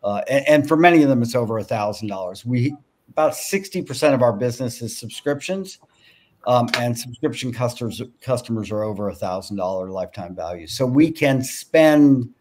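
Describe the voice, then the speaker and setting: Older man pitching a farm business. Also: expressive, brisk, a little mellow, slight background noise. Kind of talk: business pitch and question answering. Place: home room with bookshelves. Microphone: video call.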